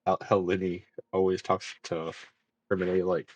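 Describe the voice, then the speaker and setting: Young male streamer, casual commentary, husky, dry close mic. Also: sits low, completely flat delivery, measured pace, a little mellow, no echo. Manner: rambling, laughs and mimics characters. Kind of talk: casual live game commentary.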